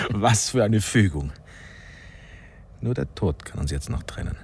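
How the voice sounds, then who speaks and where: A man's voice: fairly low pitch, lively intonation, a little slow, slight background noise. An actor playing a theatrical, self-pitying intellectual man in his thirties in a radio drama, speaking with grandiloquent mock pomposity. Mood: amused.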